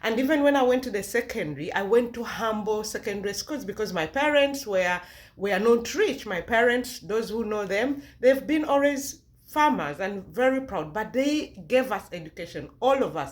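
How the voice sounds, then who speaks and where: Ugandan-accented woman giving a personal testimony. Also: fairly high pitch. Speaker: vlogger.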